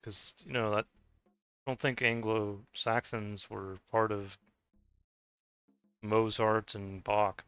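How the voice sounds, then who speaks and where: A man's voice: lowish voice, little pitch movement, takes it slowly, pauses often, a bit bright, clean tone, no room sound, soft background music. A young man with a slight Scottish-tinged accent, chatting casually and hesitantly while playing a game, often restarting and trailing off. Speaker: gaming video creator. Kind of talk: casual rambling commentary over gameplay.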